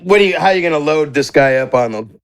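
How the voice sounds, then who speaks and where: A man's voice: on the high side, lively intonation, quick pace, clear, resonant tone, dry recording. A man narrating a tabletop game as game master in a casual, descriptive, conversational way.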